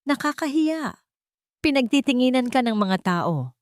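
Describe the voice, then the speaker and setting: Woman acting a tender, soft-hearted young bride-to-be. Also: high-pitched, very animated, unhurried, no room sound, clean studio sound, pitched above the performer's own voice. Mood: shy, moved. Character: soft-hearted young woman accepting her beloved's proposal.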